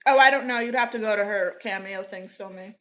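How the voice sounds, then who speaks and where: A woman's voice: medium pitch, a little fast, a bit bright. A young woman from Orlando, Florida, chatting casually with viewers as livestream host, upbeat and laughing often. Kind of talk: casual chat reading viewer comments.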